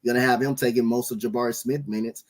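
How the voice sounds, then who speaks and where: A man's voice: mid-range voice, steady tone, quick pace. A man hosting a casual basketball podcast, laid-back and rambling through his opinions. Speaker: podcast host. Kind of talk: casual sports podcast chat.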